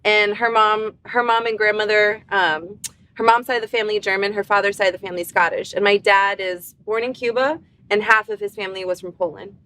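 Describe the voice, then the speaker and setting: A young adult woman from Miami, answering interview questions in a relaxed, slightly hesitant way. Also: medium pitch, fairly quick. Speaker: guest author.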